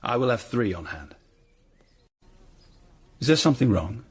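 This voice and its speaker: An actor playing a tough, proud Tudor hired ruffian in a radio drama, with a rough, husky voice and blunt, clipped delivery. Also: male voice, medium pitch, big pitch swings, a little slow, heavily acted. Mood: brisk, conspiratorial. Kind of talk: period radio drama acting.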